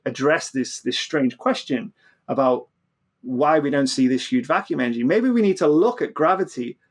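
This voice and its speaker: A man's voice: mid-range voice, animated, normal speed, breathy edge. A man explaining things as an interview guest, often stammering and repeating the start of his phrases. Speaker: physicist guest. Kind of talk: animated explanatory interview talk.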